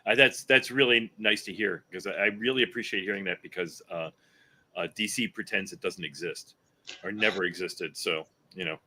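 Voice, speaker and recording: A man's voice: deep voice, moderate pace, bright, forceful tone. A man telling anecdotes casually as a guest on a comics creators' panel.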